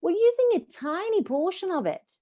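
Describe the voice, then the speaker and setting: A woman's voice: very high voice, big pitch swings, moderate pace, warm tone. A middle-aged woman, a voice coach, teaching an online session over a video call in an encouraging coaching tone.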